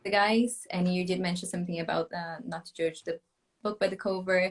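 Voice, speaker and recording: Female interview host, lowish voice, friendly, hesitant questioner. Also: fairly even intonation, a little fast. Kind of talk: casual live interview.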